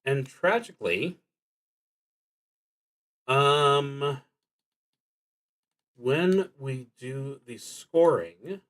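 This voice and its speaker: Man, mid-pitched voice, unhurried pace, halting delivery, casual solo tabletop game narration. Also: expressive, fairly bright tone. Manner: halting, with frequent pauses mid-sentence. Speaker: solo tabletop roleplaying streamer.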